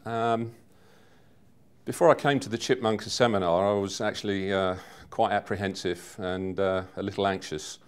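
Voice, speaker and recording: Man in his fifties, lowish pitch, clear resonant voice, measured earnest testimonial. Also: steady tone. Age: 50s. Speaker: seminar attendee giving a testimonial. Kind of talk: personal testimonial.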